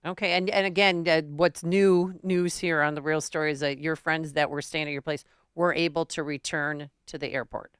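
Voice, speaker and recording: Low-voiced woman radio host asking questions. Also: steady tone, smooth, no room sound, clean studio sound. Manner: brisk questioning. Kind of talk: talk radio interview.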